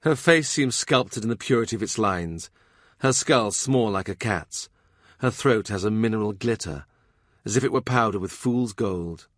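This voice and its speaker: A man narrating a literary historical novel as an audiobook, in a measured, dramatic storytelling manner. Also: fairly low pitch, animated, no echo, clean studio sound.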